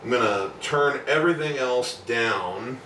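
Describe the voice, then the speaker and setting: Male musician, low voice, casual explanatory video diary delivery, roomy sound with faint noise. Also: measured pace. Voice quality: deep natural voice. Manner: rambling, explanatory, with many asides. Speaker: singer-songwriter and self-producing musician. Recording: roomy, distant mic, faint background noise.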